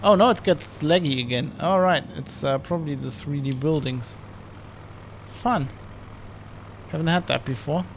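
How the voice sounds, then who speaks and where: A man's voice: a little high, unhurried, long pauses, a little hiss or hum. A man giving rambling, casual commentary while streaming a flight sim. He restarts and corrects himself often. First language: German.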